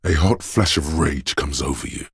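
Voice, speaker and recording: An actor voicing a menacing inner voice of fear and violent aggression in a video game, brooding and theatrical, speaking in the second person. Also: male voice, dry recording, clean studio sound, full character voice. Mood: tense, seething. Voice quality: very deep, gravelly, husky. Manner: brooding, theatrical, deliberate delivery with ominous weight.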